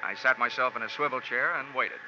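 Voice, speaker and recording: A man's voice: mid-range voice, fairly even intonation, fairly quick, very noisy, close to an everyday voice. An American man playing a cynical, wisecracking insurance investigator in hardboiled 1940s radio style, with clipped, sardonic delivery. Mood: dry narration. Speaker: lead actor and narrator of a detective radio series. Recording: muffled vintage radio recording, music.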